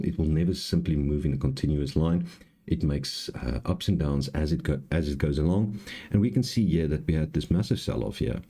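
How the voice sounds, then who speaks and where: A man with an extremely deep voice teaches in a live tutorial. His tone is dark and relaxed, with a raspy, hoarse edge. He explains haltingly, going back over points as he goes. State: hoarse, clearing throat. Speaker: trading live-stream host and instructor.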